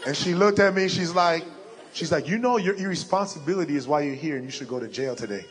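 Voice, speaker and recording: A young adult man with a deep voice, preaching a sermon and telling a personal story in an animated way, acting out the lines he quotes. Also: fast, a crowd in the background, noisy background.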